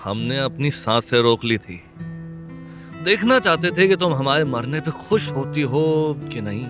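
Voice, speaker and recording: A man's voice: medium pitch, animated, unhurried, natural voice. An actor playing an earnest young man who speaks in rustic village speech, wounded but still in love, in an audio drama. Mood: hurt. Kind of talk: Hindi audio drama acting. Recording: soft music bed underneath.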